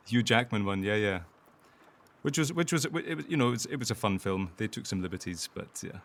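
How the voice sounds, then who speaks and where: A man's voice: low voice, a little hiss or hum. A man, an actor, chatting casually as an interview guest.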